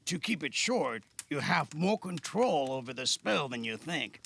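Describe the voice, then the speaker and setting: A man playing a patient, modest veteran magic caster in an amateur audio drama, gravelly and measured, with thoughtful hums. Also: fairly high pitch, sing-song, a bit bright, slight background noise, heavily acted. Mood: explaining. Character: Alan, weathered veteran male caster, patient, modest, wryly guarded.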